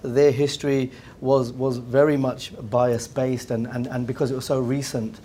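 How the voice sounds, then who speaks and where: A man's voice: mid-range voice, little pitch movement, normal speed, warm tone, slight background noise. A man with an English accent speaking on a panel, hesitant, often repeating words as he goes. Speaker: round table panelist. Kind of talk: panel discussion.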